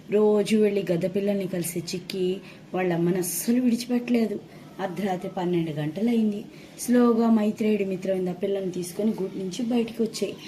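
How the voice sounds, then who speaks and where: A woman's voice: mid-range voice, warm tone, rough recording. A woman narrating a children's story as a storyteller. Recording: faint background noise.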